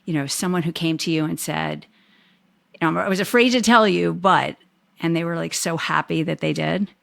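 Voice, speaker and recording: A woman's voice: fairly low pitch, normal speed, creaky, a bit bright. A woman hosting a casual podcast interview, talking in a rambling, reflective way and restarting her phrases often. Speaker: podcast host.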